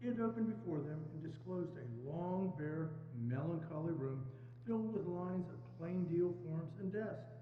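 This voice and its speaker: A man's voice: a little high, animated, unhurried. A man narrator giving a scripted dramatic reading of a story. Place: church, live staged reading. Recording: echoey church hall, dull sound, faint noise.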